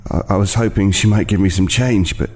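A man's voice: deep voice, darkish tone, husky. An actor playing a weary, wary homeless man, hesitantly telling an unsettling tale in an audio play. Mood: haunted, reflective. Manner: hesitant, halting, haunted, with repeated words. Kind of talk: dramatic first-person storytelling in an audio play.

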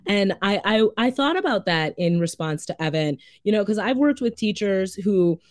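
A woman's voice: medium pitch, lively intonation, moderate pace, dry recording, clean studio sound. A woman hosting a public radio talk show. She speaks in a gracious, conversational way. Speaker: radio host. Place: radio studio. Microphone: studio mic.

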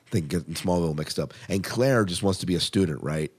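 Man, low-pitched voice, podcast host, casual chat, conversational review style. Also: fast talker, husky.

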